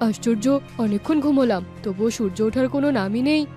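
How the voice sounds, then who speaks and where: A fairly high female voice from an actress plays a practical, worried village mother who tends to scold. It is cartoon dubbing with music behind it. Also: fast talker, mellow, lightly acted. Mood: baffled. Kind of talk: animated folk-tale cartoon dubbing.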